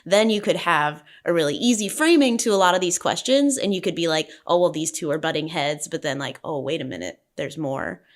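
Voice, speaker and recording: A woman's voice: low voice, fairly quick, hardly any pauses. A woman in a casual group discussion, slipping into imagined bits of dialogue as she explains. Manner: acts out imagined lines of dialogue. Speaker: panelist in a script discussion.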